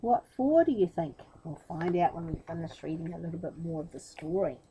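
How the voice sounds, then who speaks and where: A woman tells a children's story in a mellow voice with very varied intonation. She is expressive and speaks straight to her young listeners. Faint room noise sits behind her. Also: on the low side, a little fast, low-quality recording. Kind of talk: children's storybook reading with chatty asides.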